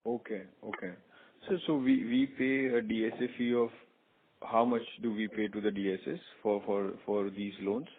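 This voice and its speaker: A man's voice: lowish voice, level tone, moderate pace, breathy edge. A man with an Indian accent politely putting questions as an analyst on an earnings call, over a phone line.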